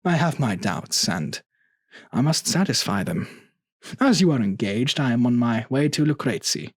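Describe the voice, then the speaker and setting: A man acts out a vengeful nobleman in a gothic horror tale, hiding his scheme under courteous flattery. The voice is husky and dry, with a show of reluctance and false friendship. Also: medium pitch, moderate pace, no echo. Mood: feigning doubt. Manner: smooth flattery, feigned reluctance. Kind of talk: dramatic audiobook dialogue.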